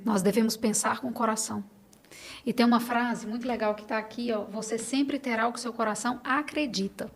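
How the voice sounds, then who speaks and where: A woman's voice: fairly high pitch, steady tone, fairly quick, a little hiss or hum. A Brazilian woman speaking as a podcast guest, earnest and emphatic, in a conversational, personal style with encouraging exhortations. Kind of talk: conversational interview, personal testimony.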